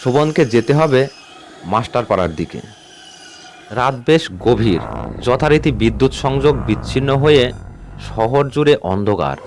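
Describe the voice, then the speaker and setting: A man narrating a scripted ghost story in a measured, suspenseful way. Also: mid-range voice, animated, darkish tone, slight background noise. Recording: music bed under the voice. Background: background music.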